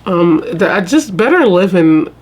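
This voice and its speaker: A woman in her mid-twenties from the American South, chatting casually to her viewers, full of "y'all" and quick restarts. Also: fairly low pitch, big pitch swings, a little mellow, husky. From Southern United States. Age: 20s. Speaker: vlogger. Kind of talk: casual chat to camera.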